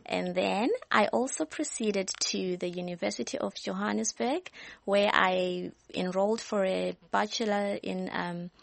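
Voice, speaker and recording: A young woman from Zimbabwe, a studio guest, speaking hesitantly with many pauses. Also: mid-range voice, vocal fry, no echo. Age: young adult.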